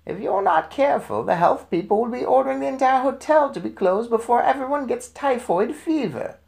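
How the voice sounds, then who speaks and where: A man's voice: very high voice, big pitch swings, fairly quick, gravelly, darkish tone, pitched above the performer's own voice, full character voice. A man voicing a stern, relentless old grandmother, indignant and emphatic, in a storybook reading. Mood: relentless scolding. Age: older.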